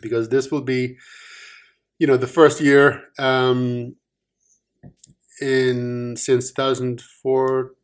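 A man co-hosting a podcast, talking casually in a dry, teasing way with many pauses and ums. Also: medium pitch, little pitch movement, smooth. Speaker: podcast co-host, author. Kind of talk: casual chat.

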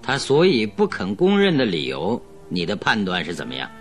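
An actor playing a composed, probing master detective in a radio drama, courteous and inquisitive. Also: male voice, lowish voice, huge pitch swings, normal speed, faint music behind. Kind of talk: Chinese radio drama acting.

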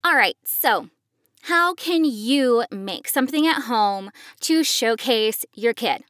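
A woman's voice: fairly high pitch, animated, takes it slowly, a bit bright, dry recording, studio quality. A woman hosting a podcast, explaining things to parents in a conversational way. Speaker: podcast host and voice actor.

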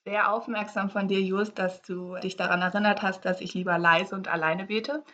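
Relaxed woman co-hosting a podcast, dull sound. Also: mid-range voice, moderate pace. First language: English. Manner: relaxed and chatty, explaining a guessing game. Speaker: podcast co-host. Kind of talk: casual podcast chat.

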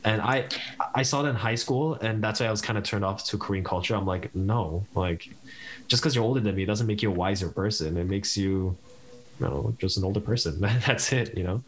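A young man from Canada in casual podcast chat, an animated storyteller who acts out quoted voices and laughs often. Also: lowish voice, fairly quick. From Canada, Montreal.

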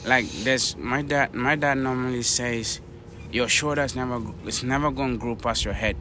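A man's voice: mid-range voice, steady tone, normal speed, background noise. A young man from Cameroon, a podcast guest talking earnestly in casual chat with many "like" fillers.

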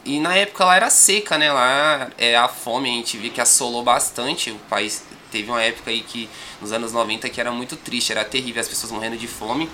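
Young guy w a sao paulo accent, really bright tone, laid back rambly podcast chat, bit of background hiss. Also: medium pitch, fairly quick, hardly any pauses. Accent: São Paulo Portuguese. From Brazil. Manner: calm, rambling, frequent tag questions. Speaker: rapper guest. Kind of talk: casual podcast interview.

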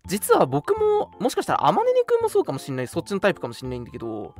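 A man's voice: sits high, huge pitch swings, very fast. A young man hosting a radio show, chatting casually with a friend in an agreeable way. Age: young adult. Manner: agreeable, often repeats affirmations. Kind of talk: casual chat between friends. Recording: music playing behind the voice. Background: background music.

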